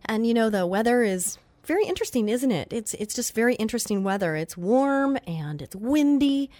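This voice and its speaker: A woman's voice: a little high, expressive, brisk, darkish tone, no room sound. Nasal-sounding woman hosting faith radio. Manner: chatty, earnest, with many fillers and restarts. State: nasal from allergies. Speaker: radio show host.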